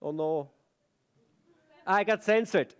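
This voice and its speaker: A man's voice: high-pitched, slow, smooth. Chatty Austrian man on a stage. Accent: Austrian German. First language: German. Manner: chatty, self-deprecating, many fillers and restarts. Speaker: conference speaker. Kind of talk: conference talk. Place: conference stage.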